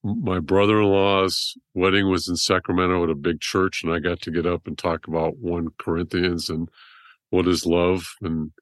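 A middle-aged man, a surgeon guest with a deep voice, rambling through his story in a casual interview. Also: little pitch movement, normal speed, dry recording. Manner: rambling, restarts often.

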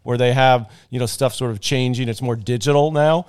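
Host: man with a smooth, resonant tone, rambling questions, strained from losing his voice. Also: mid-range voice, brisk, a little mellow. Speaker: podcast host. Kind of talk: podcast interview.

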